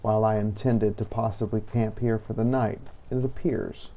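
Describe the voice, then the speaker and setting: A man's voice: fairly low pitch, steady tone, normal speed, dark tone, lo-fi sound. A man hosting a roleplay game series, narrating in a scripted, first-person journal style. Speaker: gameplay series host and narrator.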